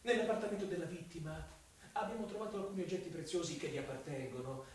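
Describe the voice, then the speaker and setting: An officious official in a radio play, acted by a man, formal and theatrical, stretching his words. His voice sits fairly high and comes from far off in a strong echo, over faint hiss. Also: fast, a little mellow, close to an everyday voice. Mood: officious, insinuating. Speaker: police official. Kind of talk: theatrical radio drama dialogue. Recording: echoey, distant, faint hiss.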